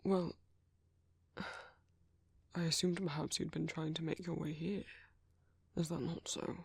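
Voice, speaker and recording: A British man playing a gentle, protective vampire priest in an ASMR roleplay, speaking in hushed, hesitant, soothing whispers. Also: fairly high pitch, little pitch movement, measured pace, creaky, breathy edge, no echo, heavily acted. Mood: gentle reassurance. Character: gentle, soft-spoken male vampire priest, protective caretaker.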